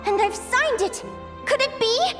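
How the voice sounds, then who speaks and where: A woman's voice: extremely high pitch, lively intonation, measured pace. A woman voicing an eager, dreamy, cute young woman adventurer in an anime-style video game, expressively talking aloud to herself. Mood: amazed, overjoyed. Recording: music behind the voice.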